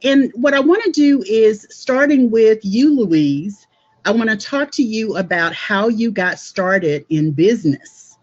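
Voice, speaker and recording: A woman's voice: on the high side, expressive, unhurried. A woman hosting a casual online panel chat in an upbeat way, often saying "awesome.". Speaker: host. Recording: dull lo-fi web call audio.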